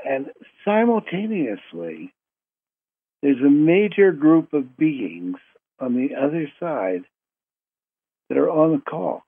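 Slow, halting man on a phone line. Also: medium pitch, breathy edge. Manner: halting, deliberate, short phrases with long pauses. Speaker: host of a spiritual conference call. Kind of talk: informal spoken introduction to a live group call. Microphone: telephone. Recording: muffled telephone conference line.

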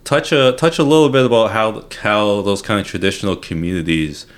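Man, podcast host, low voice, loose rambling questions. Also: fairly quick. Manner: rambling, hesitant, many fillers. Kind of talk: casual interview hosting.

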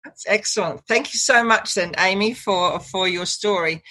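A woman hosting an interview show, giving brief encouraging responses and asking gentle follow-up questions. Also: medium pitch, moderate pace, low-quality recording. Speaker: talk show host. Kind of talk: online talk show interview.

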